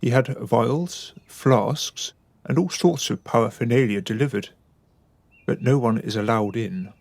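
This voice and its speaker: A man's voice: medium pitch, measured pace, creaky, a little mellow, dry recording. An actor playing a proper, wary upper-class father with a formal, reserved manner in a radio drama. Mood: explaining.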